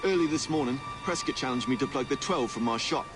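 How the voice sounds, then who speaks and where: A man's voice: medium pitch, fast, close to an everyday voice. A man playing a plain-spoken former soldier who deals guns, in video game cutscene dialogue. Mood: confessional. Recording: loud music behind the voice.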